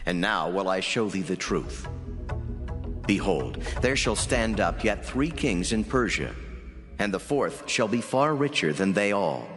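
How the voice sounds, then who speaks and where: A man playing a solemn heavenly messenger in dramatized scripture, delivering prophecy in a measured, declamatory way. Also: lowish voice, animated, a bit bright. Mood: grave, prophetic. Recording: long hall reverb under clear music. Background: music.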